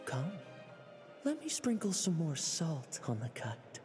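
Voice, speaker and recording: Young male game knight: sly, teasing, theatrical, extremely lively pitch, music underneath. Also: slow, heavily acted. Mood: playfully menacing. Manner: playful, smooth-talking, theatrical.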